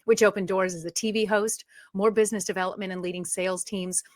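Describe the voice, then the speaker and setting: A woman's voice: medium pitch, fairly even intonation, fast talker, darkish tone, no echo. A woman guest on a webinar, bubbly and enthusiastic as she describes her career. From USA. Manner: bubbly, enthusiastic, laughs often. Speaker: guest author.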